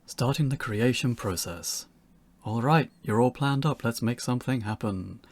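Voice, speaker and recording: A man's voice: medium pitch, moderate pace, no echo. A man reading a scripted instructional talk for beginners as its presenter.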